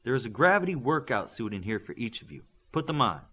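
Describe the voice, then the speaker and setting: Guy doing a strict military coach in an audiobook, barking short orders, bit husky. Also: mid-range voice, sing-song, fairly bright tone. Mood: commanding. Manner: brisk, giving clipped orders. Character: stern, commanding male military-style trainer.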